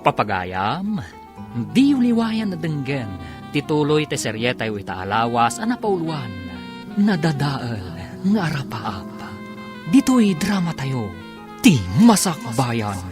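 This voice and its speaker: A male Ilocano radio drama narrator with a wide, swooping delivery over clearly audible guitar music. He announces in a theatrical, scripted way, stretching out key phrases. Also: a little high, big pitch swings. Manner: theatrical, drawn-out title calls.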